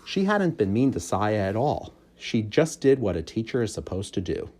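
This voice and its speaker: A man narrates a children's story in a fairly low voice. His delivery is expressive, with very lively swings in pitch, as suits a storybook reader. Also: moderate pace.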